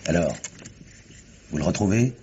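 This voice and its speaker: An actor playing a gruff, colloquial but methodical police investigator in a radio drama, blunt and familiar. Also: male voice, deep voice, expressive, a little slow, mellow, a little hiss or hum. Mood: patient, instructive. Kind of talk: 1950s French radio drama acting. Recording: muffled old radio recording.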